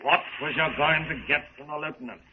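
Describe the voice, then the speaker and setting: A rough gang boss, acted by a man, in a scratchy 1930s radio drama. He sneers and threatens in coarse grammar, his voice a little high for a man, through a narrow, hissy recording. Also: fairly even intonation, fairly quick, lightly acted. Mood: menacing. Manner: menacing, sneering, uneducated grammar. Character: rough, bullying male gang boss interrogating captives. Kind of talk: 1930s radio serial acting.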